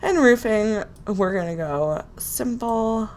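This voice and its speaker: A woman's voice: mid-range voice, animated, slow, darkish tone, a little hiss or hum. A woman with a nasal, congested voice from a cold, chatting casually as she builds in a game and talks to herself. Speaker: Sims building video creator. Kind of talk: casual real-time build commentary.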